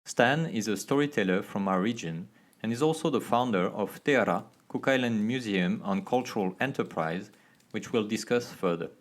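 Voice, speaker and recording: A man's voice: lowish voice, moderate pace. A man hosting an interview podcast, reading a careful introduction and then asking questions with hesitant fillers. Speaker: podcast host.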